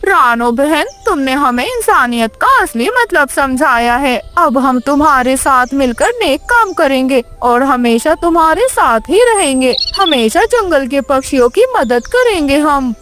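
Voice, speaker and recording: A woman's voice: high voice, expressive, measured pace, hardly any pauses, a bit bright. A woman voicing a boastful crow in a theatrical, emphatic cartoon moral-story style. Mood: earnest. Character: boastful greedy crow, later humbled and remorseful.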